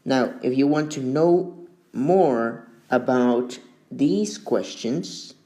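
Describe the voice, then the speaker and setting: A man teaching a beginner English lesson, speaking slowly and deliberately with long pauses between words. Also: medium pitch, warm tone, low-quality recording.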